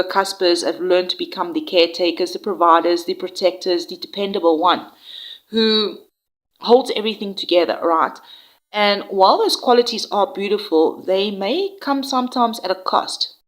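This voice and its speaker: A woman doing a tarot reading in a gentle, reassuring way, with fillers and restarts, her voice nasal and congested from a flu. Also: medium pitch, moderate pace, rough recording. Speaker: tarot reader.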